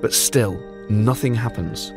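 Man narrating over low music. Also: slow, vocal fry. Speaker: podcast host and narrator. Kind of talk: scripted storytelling narration with banter.

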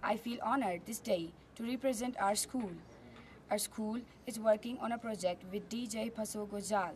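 A woman's voice: medium pitch, normal speed, darkish tone, a little hiss or hum. A teenage girl presenting her school project outdoors, in an earnest, memorized delivery. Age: teens. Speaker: student presenter. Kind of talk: recited presentation. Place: outdoors on a mountain terrace.

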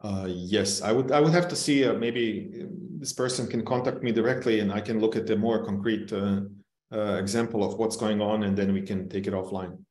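A middle-aged man who is not a native English speaker, explaining technical things informally and haltingly on a video call. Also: fairly low pitch, darkish tone. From non-English-speaking country, long resident in Canada. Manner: hesitant, halting, searching for words. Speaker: webinar presenter, technical expert. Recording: roomy, echoing webcam call.

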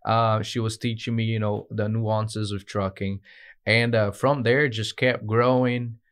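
A man in his thirties from Brazil with a Brazilian Portuguese accent, a guest telling his story in a studio interview with many "uh" pauses. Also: lowish voice, little pitch movement, a bit bright, smooth. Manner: earnest, with frequent "uh" and "um" pauses. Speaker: guest, dispatch manager. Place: podcast studio with branded backdrop. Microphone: desk podcast mic on a stand.

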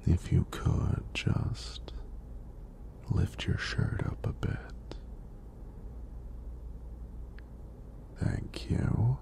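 A man acting as a hunky, gentle EMT in an ASMR roleplay. His low voice is heavily fried and drops into a breathy near-whisper. He takes his time, very slowly reassuring and softly flirting. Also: full character voice. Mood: soothing, gently flirty. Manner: slow, soothing, reassuring, praising. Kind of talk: soft-spoken ASMR roleplay.

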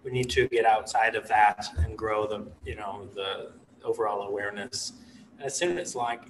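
A man in his thirties, an executive chef, speaking in a relaxed, rambling way on a video call from his living room. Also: fairly low pitch, moderate pace, distant mic, noisy background. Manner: relaxed, rambling, many "you know" and "like" fillers. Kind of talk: casual interview over video call. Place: living room with a stone fireplace.

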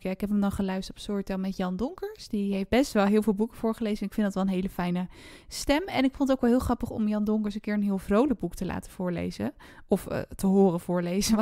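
A woman's voice: mid-range voice, darkish tone, dry recording, studio quality. A woman chatting casually and appreciatively about books as a podcast host, lightly amused. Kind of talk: casual book discussion.

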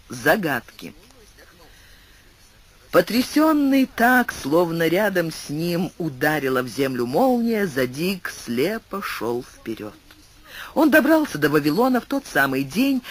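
A man narrating a literary audiobook in an expressive storytelling manner. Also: on the high side.

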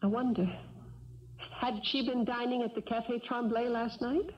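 Shrewd suspicious wife woman acting, highish voice, insinuating, dull old radio play with faint hiss. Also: fairly even intonation, normal speed, close to an everyday voice. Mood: cool suspicion. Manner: pointed, insinuating questioning. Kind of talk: 1970s radio drama acting. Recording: dull vintage radio broadcast, faint noise.

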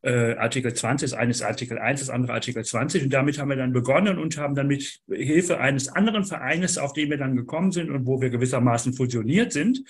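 Husky middle-aged man, matter-of-fact. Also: medium pitch, fast, hardly any pauses. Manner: matter-of-fact, with fillers and throat clearing. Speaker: association representative. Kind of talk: video call discussion. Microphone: laptop or webcam mic on a video call.

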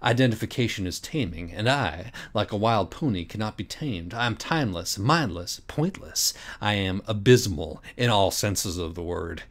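A man acts out an ancient, menacing abyss from a storybook. The low voice is solemn and grandiose, speaking as something timeless and untameable. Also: lively intonation, normal speed, hardly any pauses. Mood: ominous, grandiose.

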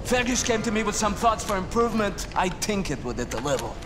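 Eccentric old inventor man in a game, high pitched, clipped and urgent, loud music behind. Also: sing-song, brisk. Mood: urgent, excited. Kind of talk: dramatic game cutscene acting.

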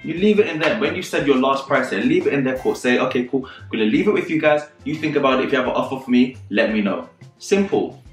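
A man in his thirties hosting a casual advice vlog to camera, animated and repeating phrases for emphasis. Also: medium pitch, fast talker, echoey room, recorded from a distance, soft background music. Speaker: property channel host.

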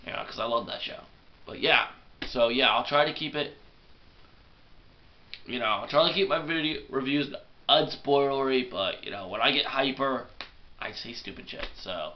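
A young man, rambling excitedly through a casual movie review from his bedroom while fighting a cold. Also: mid-range voice, moderate pace, long pauses, dull audio. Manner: excitable, rambling, self-deprecating. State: congested with a cold. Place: small bedroom at night.

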